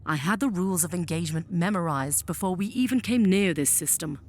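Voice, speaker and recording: A woman's voice: on the low side, fairly quick, darkish tone, slight background noise, close to an everyday voice. A woman playing a cold, impatient military general in an audio drama, clipped, disdainful and commanding.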